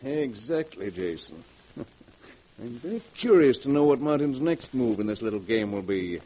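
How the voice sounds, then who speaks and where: An actor playing a smug, cynical wealthy businessman in 1940s radio drama style, sardonic, condescending and deliberate. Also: medium pitch, very animated, dark tone, breathy edge. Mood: sly. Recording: muffled vintage 1940s radio recording.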